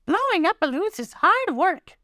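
Very high, excited little Elmo voice. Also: unhurried, no echo, studio quality, full character voice. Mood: cheerful effort. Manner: excited, childlike exclaiming. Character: Elmo, eager sweet little boy monster.

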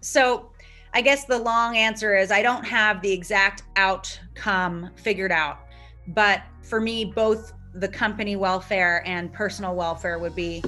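A woman's voice: mid-range voice, steady tone, measured pace, pushed and bright, soft background music. A woman founder, whose first language is Lithuanian, answering interview questions in a thoughtful, rambling way with many fillers. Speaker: company founder and CEO.

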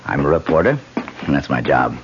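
An actor playing a brash, flirtatious newspaper reporter with an offhand manner in a 1970s radio drama. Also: male voice, rumbling low, lively intonation, fairly quick, background noise. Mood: breezy. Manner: breezy, offhand, forward. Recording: dull lo-fi old radio broadcast.

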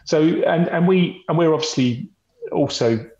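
A man's voice: fairly high pitch, measured pace, darkish tone. A man speaking as a company representative and podcast guest, explaining the business in a conversational presentation style, often repeating words as he goes. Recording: boxy echoey room, dull lo-fi sound.

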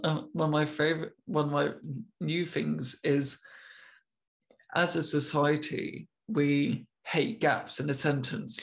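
Young man who stammers, gravelly voice. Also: a little high. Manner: stammers, with repeated words and long pauses. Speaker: interviewer. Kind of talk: casual conversation.

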